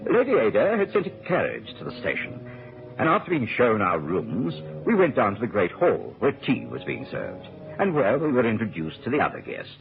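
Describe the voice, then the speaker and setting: An actor playing an earnest gentleman physician, recounting a case with dramatic storytelling in a radio drama. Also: mid-range voice, huge pitch swings, normal speed, vocal fry, soft background music. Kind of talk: radio drama narration and acting. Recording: tinny old radio recording.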